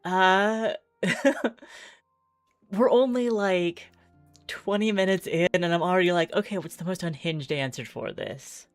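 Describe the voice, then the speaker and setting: A woman's voice: on the low side, unhurried, no room sound, soft background music. A woman streamer doing chatty game playthrough commentary, reading text aloud and musing as she goes.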